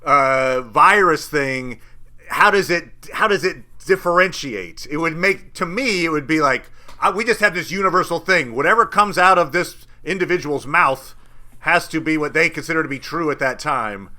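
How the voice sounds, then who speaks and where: A man co-hosting a podcast, chatting casually and thinking aloud, with quick restarts and little acted asides. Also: fairly high pitch, lively intonation, pushed and bright. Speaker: podcast co-host. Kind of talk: casual chat about a TV episode.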